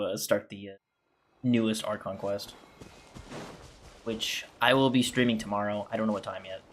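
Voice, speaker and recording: A man giving casual, laid-back let's play commentary as a gaming streamer. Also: lowish voice. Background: game music.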